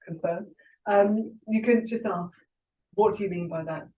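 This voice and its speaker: A woman's voice: medium pitch, a little slow. British woman teaching in a roomy space. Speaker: air traffic controller teaching a class. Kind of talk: informal classroom teaching and discussion. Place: indoor ground school classroom. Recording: roomy, dull, distant, noisy classroom recording.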